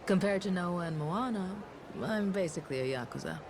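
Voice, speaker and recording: A woman's voice: on the low side, lively intonation, fairly quick, noisy background, lightly acted. Woman playing a confident, tough young bodyguard. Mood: self-assured. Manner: confident, casual, slangy. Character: tough, self-assured young woman, secretary and bodyguard to a queen. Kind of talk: video game cutscene acting.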